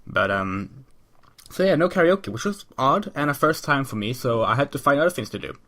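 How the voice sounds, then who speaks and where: A man's voice: medium pitch, animated, normal speed, fairly bright tone. A man hosts his podcast alone while sick, so his voice sounds a little off. He rambles casually from point to point, filling the gaps with ums and false starts. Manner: rambling, chatty, many ums and restarts. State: sick, voice a bit off. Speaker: podcast host. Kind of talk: casual solo podcast monologue.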